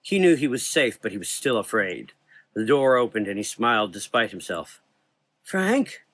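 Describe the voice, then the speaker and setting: A man's voice: lowish voice, animated, moderate pace, fairly bright tone, no room sound. A man reading scripted gothic horror narration as a storyteller.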